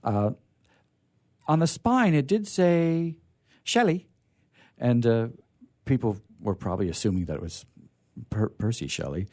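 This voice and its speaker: A man's voice: medium pitch, animated, unhurried, pauses often, mellow. A man hosting a radio theatre reading, introducing it off the cuff and searching for his words as he goes. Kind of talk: unscripted introduction to listeners.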